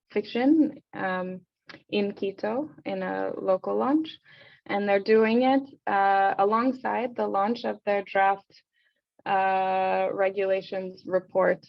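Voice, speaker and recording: A woman hosting and moderating an online panel discussion, speaking conversationally with frequent hesitant pauses. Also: medium pitch, no room sound, muffled recording. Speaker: host and moderator of an online event.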